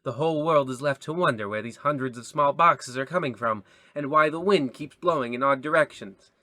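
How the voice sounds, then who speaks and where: An actor playing a wry, disdainful radio anthology narrator with a deadpan, mock-solemn sign-off style. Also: male voice, medium pitch, brisk, dry recording, close to an everyday voice.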